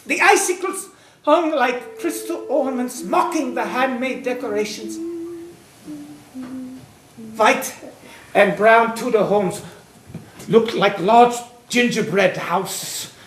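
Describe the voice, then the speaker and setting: A man's voice: very high voice, slow pace, long pauses, a bit bright, full character voice. An actor in a stage play playing a devout, wistful imprisoned man in love, speaking in a dreamy, dramatic storytelling manner. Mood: awed, reverent. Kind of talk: theatrical stage-play monologue and dialogue. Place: stage, recorded with room echo. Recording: echoey stage with background noise and clicks.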